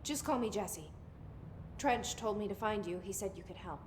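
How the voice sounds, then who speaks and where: A woman's voice: medium pitch, animated, moderate pace, slight background noise, lightly acted. An actress playing an earnest, determined young woman in a video game, sincere and understated in delivery. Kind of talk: video game cutscene acting.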